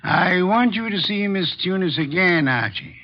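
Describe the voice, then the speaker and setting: A dull vintage radio recording carries an imperious private detective. His voice sits a little high for a man and has plenty of gravel. He questions people formally and deliberately, with shrewd precision. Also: lively intonation. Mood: commanding. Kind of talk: 1940s-50s radio detective drama acting.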